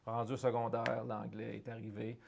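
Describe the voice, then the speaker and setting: A middle-aged man with a Canadian French accent talks through an interview in an earnest, conversational way. He often repeats a word or restarts a phrase while looking for the right one, and his voice sits at an ordinary pitch for a man. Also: completely flat delivery, moderate pace, gravelly, darkish tone, slight background noise. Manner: earnest, searching for words with frequent restarts. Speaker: guest, head of a francophone economic development organization.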